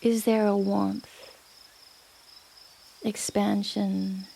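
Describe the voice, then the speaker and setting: A woman guiding a yoga nidra meditation in a gentle, unhurried manner with long pauses. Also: medium pitch, little pitch movement, creaky, a little hiss or hum. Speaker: meditation guide. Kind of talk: guided yoga nidra meditation, soothing narration.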